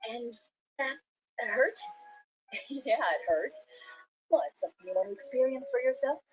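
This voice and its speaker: A woman's voice: a little high, moderate pace, faint music behind, lightly acted. A woman playing a composed, matter-of-fact female fighter in a fantasy video game. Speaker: game character. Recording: muffled game audio, faint noise.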